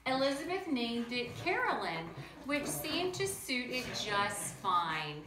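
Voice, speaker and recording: A woman's voice: a little high, slow, roomy sound, noisy background. A woman reading a children's picture book aloud at home, drawing out words and stopping to talk to young listeners. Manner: animated, draws out words, talks to kids directly. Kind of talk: children's read-aloud with asides. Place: home room with pets nearby.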